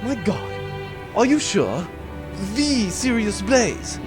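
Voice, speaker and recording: A man's voice: high voice, very animated, takes it slowly, over music, heavily acted. A man playing a melodramatic crew member of a spaceship in a comedic audio drama. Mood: excited, melodramatic.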